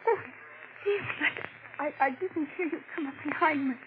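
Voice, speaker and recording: An actress plays a distraught, fearful wife in a vintage suspense radio play. She speaks haltingly and breathlessly, stumbling over words. The recording is muffled, with heavy old radio noise. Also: sits high, close to an everyday voice. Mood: shaken, accusing. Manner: halting, breathless, stammering delivery. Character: frightened, distraught young wife suspecting murder. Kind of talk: 1940s radio drama acting.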